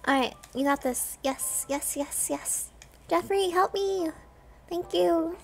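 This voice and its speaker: High-voiced, creaky young-sounding woman streamer. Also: takes it slowly. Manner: muttering reactions to herself and chatting with viewers. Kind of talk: casual live gaming stream commentary.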